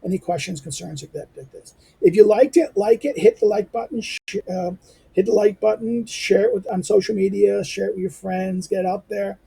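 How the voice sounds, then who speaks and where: A hoarse man hosting a lecture channel, rambling through his outro with lots of fillers, restarts and asides. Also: fairly quick, dark tone, low-quality recording. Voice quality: squeaky. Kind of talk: informal spoken outro to a reading lecture.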